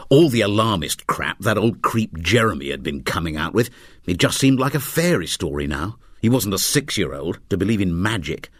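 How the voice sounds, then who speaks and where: A man reads fiction as a narrator, with wry expression. His voice sits fairly low yet moves widely in pitch to shape the drama. The recording is dry, with no room sound. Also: very animated, moderate pace, no room sound. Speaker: audiobook narrator. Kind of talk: dramatic scripted story narration.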